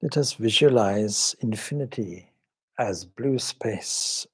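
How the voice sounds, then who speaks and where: A man leading a guided meditation as a swami, speaking calmly and reverently in measured short phrases with pauses. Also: fairly low pitch, slightly rough. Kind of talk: guided meditation with some Sanskrit chanting.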